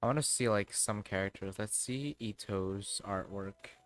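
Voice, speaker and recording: Slow, low-voiced man streaming games. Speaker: game livestreamer. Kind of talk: casual livestream commentary. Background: background music.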